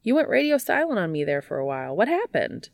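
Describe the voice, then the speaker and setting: A woman's voice: medium pitch, very animated, quick pace, creaky, no echo, voice pushed up. A woman narrator puts on a perky young woman, the geeky, loyal best friend of an audiobook tale. Her voice sits lifted and bouncy, with teasing emphasis, in a close, dry recording. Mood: surprised, chirpy.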